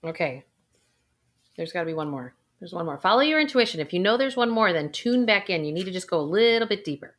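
A woman coaching viewers through a guided intuition exercise, with soft sighs and a calm, unhurried delivery. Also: lowish voice. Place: indoors, recording a video.

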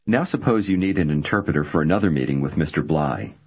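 A man narrating a language course, giving slow, deliberate prompts. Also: a bass voice, expressive, slightly rough. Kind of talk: scripted instruction. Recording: dull lo-fi tape audio.

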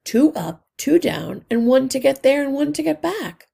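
Woman as a sensible, high-voiced mouse sister. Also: very animated, normal speed, creaky, mellow, exaggerated voice. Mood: reassuring. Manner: reasoning, matter-of-fact. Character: sensible older mouse sister, reassuring, bossy. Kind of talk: children's storybook read-aloud.

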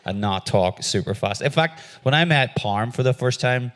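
A man with a Northern Irish accent talks fast and animatedly, cracking jokes as he speaks to a church crowd. He is in his forties and his voice sits at an ordinary pitch. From Northern Ireland. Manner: fast-talking, animated and jokey. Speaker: visiting worship musician. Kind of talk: conversational church interview.

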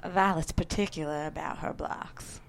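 A woman playing a kind but firm Southern wife and mother of thirty in a screenplay table read, speaking gently and soothingly with a Southern accent. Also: low voice, fairly even intonation, normal speed. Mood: tender, soothing. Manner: gentle, soothing, clear in purpose.

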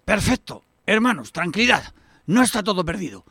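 A man's voice: high-pitched, big pitch swings, normal speed, creaky, sharp tone, breathy edge, no echo, clean studio sound, heavily acted. A man from Spain playing a greedy, bossy, scornful middle-aged eldest brother in a radio soap. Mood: scheming. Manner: exclamatory, scornful, sarcastic. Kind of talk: amateur radio soap acting.

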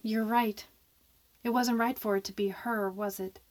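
A woman's voice: medium pitch, fairly even intonation, unhurried, close to an everyday voice. A woman playing a guilt-ridden, defiant young woman in a horror radio drama, with an emphatic, theatrical delivery. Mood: anguished guilt. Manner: theatrical, emphatic, repeats phrases.

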